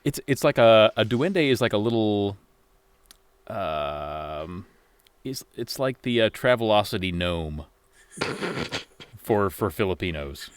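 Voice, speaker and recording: A man's voice: fairly low pitch, animated, slow, pauses often, slight background noise. A man on a comedy panel, explaining something while stammering and repeating himself. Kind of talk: casual comedic banter.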